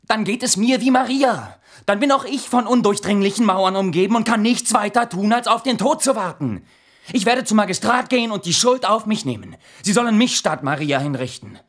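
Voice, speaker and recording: Young guy, highish husky voice, desperate and in love, very animated, radio drama. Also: a little fast. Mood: anguished. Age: young adult. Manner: earnest, impassioned pleading. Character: desperate, lovestruck, self-sacrificing young man. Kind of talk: German radio drama acting.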